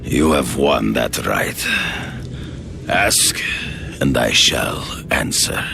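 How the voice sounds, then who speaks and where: An actor playing a stern, proud Russian hunter who speaks formally, in a game cutscene. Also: male voice, lively intonation, very slow, music in the background, full character voice. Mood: grave. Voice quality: deep gravelly, formal.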